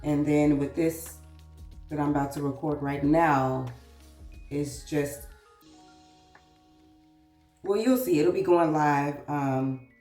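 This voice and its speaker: A woman's voice: deep voice, takes it slowly, long pauses, warm tone, echoey room, distant mic, faint music behind. A woman vlogger chatting casually while getting ready, sounding congested from a recent cold, calling the viewer "girl.". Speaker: vlogger and author. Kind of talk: casual get-ready-with-me chat.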